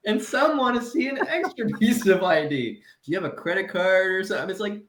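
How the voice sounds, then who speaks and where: A fired-up man in his thirties rants on a comedy podcast, swearing freely and laughing through his gripes. His voice sits a little high, and the room around him rings with a roomy echo. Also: expressive, normal speed, fairly bright tone, a little hiss or hum. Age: 30s. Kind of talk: casual podcast chat.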